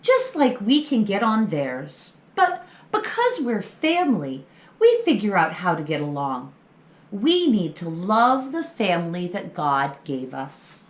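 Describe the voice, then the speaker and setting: Woman reading as kindly, unhurried father wolf. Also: medium pitch, wildly swooping pitch. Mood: wry, kindly. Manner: measured, reassuring. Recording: roomy, echoing sound.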